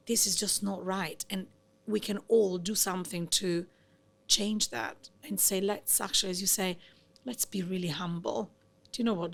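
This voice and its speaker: A middle-aged Italian-accented woman speaks as a podcast guest. She is effusive and animated, spilling one thought into the next. Her pitch sits in the ordinary middle range for a woman. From Italy. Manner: effusive, animated, talks in long run-on thoughts. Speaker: guest, company founder. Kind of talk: podcast interview conversation.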